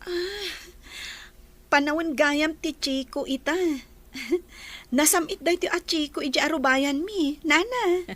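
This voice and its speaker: A woman's voice: sits high, slow pace, a bit bright. A woman playing a modest, polite young working woman in a radio drama. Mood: polite, warm.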